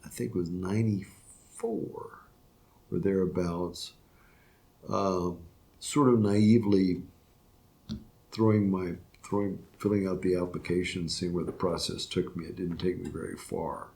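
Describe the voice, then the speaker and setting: A man's voice: sits low, fairly even intonation, vocal fry, dark tone. An older man, a retiring judge from Florida, answering interview questions reflectively, with frequent restarts and fillers. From Florida, USA. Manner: halting, many restarts and fillers. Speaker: retiring circuit court judge.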